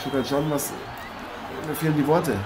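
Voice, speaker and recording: A man playing a boxer who co-commentates in dramatic dismay, rambling and halting, in improvised parody live commentary. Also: on the high side, little pitch movement, darkish tone, a faint crowd, close to an everyday voice. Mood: somber. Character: American boxer Matthew Ironhead co-commentating, dramatically aghast. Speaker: boxing co-commentator. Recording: noisy amateur recording with ambience.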